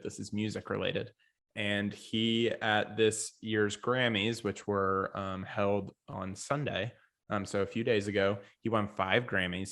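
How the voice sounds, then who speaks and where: Young american guy on a sports pod, lowish voice, really bright and punchy, just chatting. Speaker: podcast co-host. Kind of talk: casual sports podcast chat.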